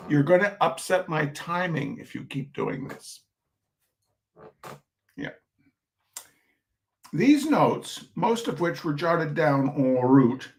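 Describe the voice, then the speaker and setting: A man giving an informal reading of humorous essays, with a droll, chatty manner and asides to his listeners. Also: fairly high pitch. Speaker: reader of short humorous pieces.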